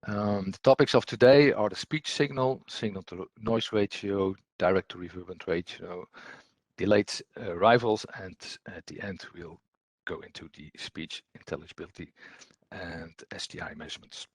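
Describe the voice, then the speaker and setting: A man giving an explanatory technical presentation as a trainer, unscripted, in a halting, hesitant way with repeated words. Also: low voice, dry recording.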